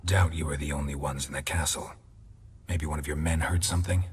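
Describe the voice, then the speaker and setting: An actor playing a stoic, grizzled monster hunter in a fantasy video game, with a gravelly voice and a terse, deadpan delivery. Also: male voice, fairly quick, a little hiss or hum. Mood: terse, probing. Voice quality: gravelly, husky low voice. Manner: terse, deadpan, clipped questions. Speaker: player character in a fantasy role-playing game.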